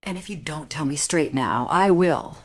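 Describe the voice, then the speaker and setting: An actress playing a worried, stubborn wife in a crime radio drama, speaking in a curt, insistent way. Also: female voice, deep voice, expressive, normal speed. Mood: insistent, accusing.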